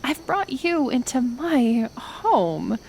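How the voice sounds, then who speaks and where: A woman acting a kind but stubborn reclusive healer in an ASMR roleplay, gentle yet firm, unhurried, with sighs and pauses. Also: high voice, sing-song, vocal fry, slight background noise, full character voice, low-quality recording. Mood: firm, exasperated.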